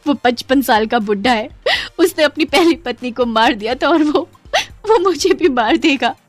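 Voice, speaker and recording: A woman's voice: sits high, animated, moderate pace, no room sound, soft background music. A woman voicing a frightened, pleading young college student in a cartoon story. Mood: desperate. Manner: pleading, anxious.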